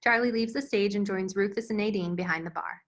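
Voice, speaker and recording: A woman's voice: mid-range voice, fairly quick. A woman reading scene headings and stage directions as the narrator of a screenplay table read.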